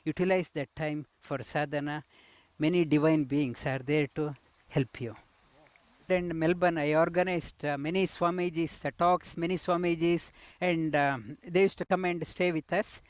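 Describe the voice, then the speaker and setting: A middle-aged man from India, a yoga teacher, telling his life story with many pauses, fillers and restarts. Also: a little high, steady tone, no echo. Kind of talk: personal life story interview.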